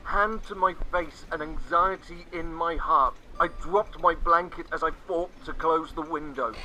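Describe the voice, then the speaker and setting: A man narrating a scripted first-person horror story, dramatic and sinking to hushed tones at the tense moments. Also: on the high side, poor audio. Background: faint music.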